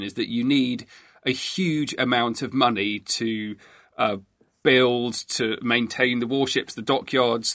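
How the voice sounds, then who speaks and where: A man's voice: medium pitch, fairly bright tone, no echo, clean studio sound. A man hosting a conversational history interview, thoughtfully building up to his questions. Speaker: interviewer, host.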